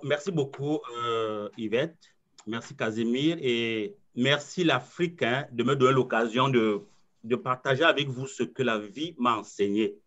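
A man in his forties, originally from Cameroon, speaks as a coach opening an online training session. His voice sits at a middle pitch and comes across earnest and sure of itself, with frequent repeated words. The sound is a slightly muffled video call. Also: measured pace, fairly bright tone. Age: 40s. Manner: earnest, self-assured, repeats words while thinking. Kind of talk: webinar presentation.

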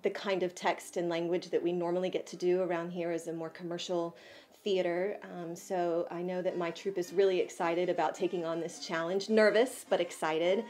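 A woman's voice: lowish voice, flat delivery, moderate pace, a little mellow. An American woman in her thirties, a theater artistic director, speaking casually and enthusiastically to camera. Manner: enthusiastic, gushing with superlatives. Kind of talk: casual promotional address and interviewing colleagues. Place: small indoor offices of a theater.

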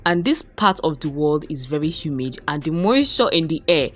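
Chatty Nigerian woman, low voice, echoey room. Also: very animated, normal speed. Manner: chatty, laughing often, unsure and self-teasing. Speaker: vlogger. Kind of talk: casual hair vlog. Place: small room indoors, rain outside. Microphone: camera close, at arm's length. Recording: echoey room with background music.

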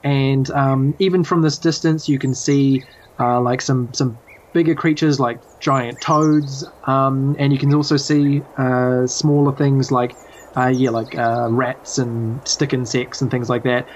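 A man's voice: medium pitch, fairly even intonation, measured pace, lo-fi sound, a little hiss or hum. A man narrating a tabletop game as game master, describing scenes in a hesitant, improvised way.

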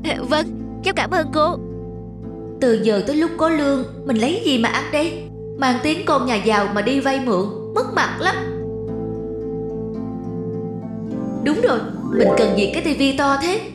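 A woman voicing an earnest, polite young man in an animated moral story. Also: high-pitched, moderate pace. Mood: sheepish, then worried, musing. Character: earnest young man, spendthrift son learning independence. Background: background music.

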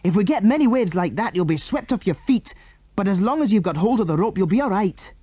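Storybook boy leader, played by a male narrator: bold, urgent, exclamatory, raised pitch, dry sound. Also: brisk, a little mellow, no room sound, close to an everyday voice. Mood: reassuring, urgent. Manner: urgent, exclamatory, giving orders. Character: bold, excitable boy leader of stranded children.

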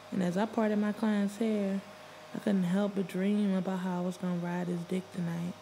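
A woman narrating first-person fiction as an audiobook reader, expressive and full of streetwise slang. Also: medium pitch, slight background noise, poor audio. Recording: music bed under the voice. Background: background music.

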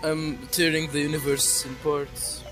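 Taunting armored villain king acted by a man, unhurried, mid-pitched, foul-mouthed comedy dub over music. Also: little pitch movement, a bit bright. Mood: menacing. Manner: crude, taunting. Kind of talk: improvised comedic fandub.

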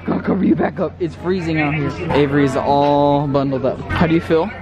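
A man's voice: a little high, fairly even intonation, normal speed, a crowd in the background. A young man in his twenties filming a casual family vlog, playful and chatty, often talking to his toddler. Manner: playful, talks to his toddler in a sing-song way. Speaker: family vlogger. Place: outdoors in the snow and in a car.

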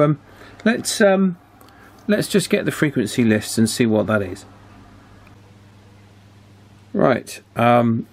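A man giving an informal hands-on demonstration, hesitant and thinking aloud as he works. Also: medium pitch. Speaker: radio repair hobbyist presenting. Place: indoor electronics workbench.

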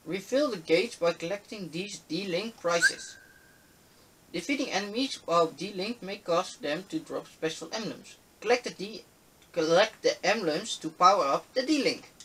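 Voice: medium pitch, animated, a little slow, very noisy. A gamer giving casual let's play commentary and reading game text aloud. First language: Dutch. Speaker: gaming video host. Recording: loud game music behind voice. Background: game music.

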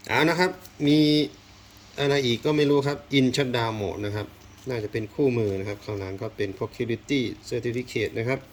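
A man's voice: mid-range voice, moderate pace. A man giving casual hands-on unboxing commentary, thinking aloud as he explores, with polite phrasing. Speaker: unboxing video host. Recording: clear background noise, rustling and clicking.

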